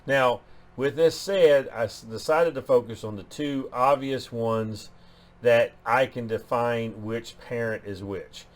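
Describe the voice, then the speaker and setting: A man's voice: mid-range voice, slow pace. A man hosting a genealogy channel, talking through his DNA numbers in a loose way, often restarting phrases. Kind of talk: explaining DNA results on screen.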